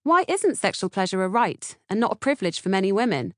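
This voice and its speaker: A woman's voice: medium pitch, sing-song, fast, no echo, clean studio sound. A woman with a British accent reading scripted audiobook narration. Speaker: author-narrator reading audiobook, podcast co-host.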